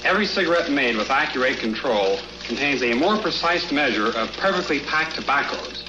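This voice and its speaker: A man's voice: mid-range voice, lively intonation, brisk. Stiff male testimonial, noisy old radio. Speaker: company president giving an advertising testimonial. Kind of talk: stiff scripted explanation. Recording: noisy, dull, echoey old radio recording.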